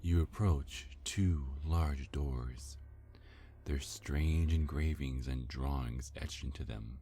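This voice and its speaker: A man with an extremely deep voice narrates a scripted ASMR story at a slow pace. His tone is dark and mellow, with faint music underneath.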